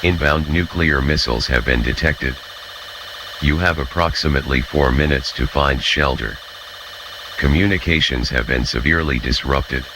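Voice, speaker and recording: A man reading a scripted emergency alert as an announcer, with loud noise behind him. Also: a bass voice, moderate pace.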